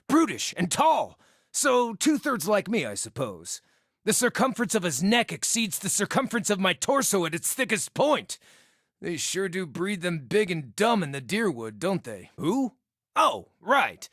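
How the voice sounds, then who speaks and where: A cheeky wandering druid from a fantasy game, high-voiced for a man. His delivery swings widely in pitch, wry and theatrical, mixing florid phrases with plain colloquial talk. The sound is close and dry. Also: fairly bright tone, dry recording, heavily acted. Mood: wry, teasing. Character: witty, cheeky, bawdy male wandering druid hunter. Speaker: video game companion voice actor. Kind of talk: expressive scripted fantasy game dialogue.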